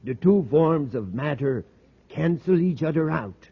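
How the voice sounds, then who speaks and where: A tinny, vintage radio recording carries an earnest middle-aged professor. His voice is husky and rather high, scholarly and excitable, with small hesitations as he explains. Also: a little slow, heavily acted. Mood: intense, explanatory. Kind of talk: 1950s radio drama acting.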